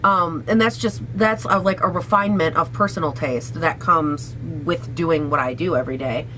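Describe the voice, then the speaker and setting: A young woman with a raspy voice, hoarse from a cold, chatting casually and sassily through a makeup try-on at home. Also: low-pitched, normal speed, pushed and bright, slight background noise, low-quality recording. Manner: chatty, sassy, swears casually. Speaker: beauty vlogger.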